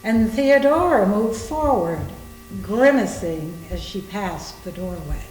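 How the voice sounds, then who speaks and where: Slow, expressive woman narrating a story. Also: mid-range voice, faint music behind. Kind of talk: scripted story narration in readers theater. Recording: echoey room with faint noise.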